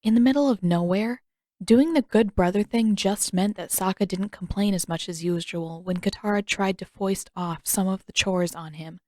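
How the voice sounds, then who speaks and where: Female audiobook narrator, mid-pitched, wry and expressive, recorded close and dry. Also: dry recording. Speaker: narrator, fan fiction reader. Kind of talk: expressive scripted story narration.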